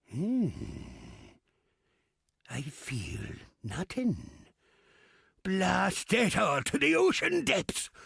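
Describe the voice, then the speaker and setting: A crusty old captain for a video game, roguish and grumbling. The voice is heavily gravelled and very hoarse. It swings widely in pitch through theatrical, salty sailor talk. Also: male voice, a bit bright, no echo, clean studio sound, full character voice. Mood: disappointed, cursing. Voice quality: gravelly, raspy. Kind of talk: video game character acting.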